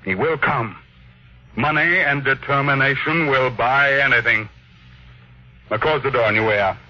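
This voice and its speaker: Forceful, sharp-edged ruthless tycoon. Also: male voice, mid-range voice, normal speed. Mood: commanding, impatient. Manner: curt, commanding, imperious. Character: ruthless, obsessed, domineering millionaire tycoon. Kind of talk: old-time radio drama acting. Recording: dull, noisy vintage radio recording.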